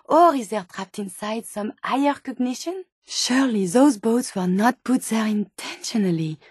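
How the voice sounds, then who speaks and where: Game role: earnest female robot scientist, French accent, high register, precise formal diction, very dry sound. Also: animated, moderate pace, darkish tone, slightly rough, no echo. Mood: curious. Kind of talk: video game companion dialogue.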